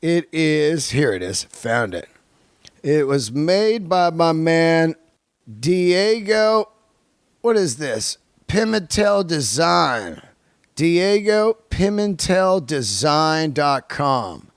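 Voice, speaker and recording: A man's voice: fairly high pitch, expressive, very slow, smooth, dry recording, studio quality. A man in his early fifties hosting a podcast, chatting casually to his listeners in a laid-back, slangy, sweary way. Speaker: podcast host and stand-up comedian.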